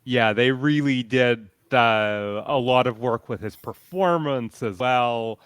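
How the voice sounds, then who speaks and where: A man from Canada talks as a panelist in a fan discussion. His pitch is average and the sound is dry, with little room on it. He speaks conversationally and knows his subject. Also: slow, a bit bright, clean tone, no room sound.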